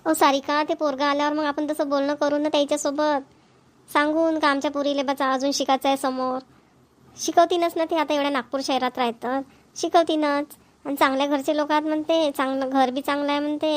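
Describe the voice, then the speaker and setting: A woman's voice: sits high, flat delivery, fairly quick, close to an everyday voice. A woman voicing a caring, persuasive middle-aged village mother, with a coaxing, motherly manner.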